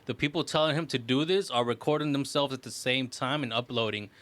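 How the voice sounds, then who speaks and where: A man's voice: mid-range voice, fairly quick, dry recording. Slangy man chatting on a podcast. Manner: excitable storytelling, slangy, profane, frequent "bro" and "like". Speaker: podcast co-host. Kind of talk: casual podcast chat.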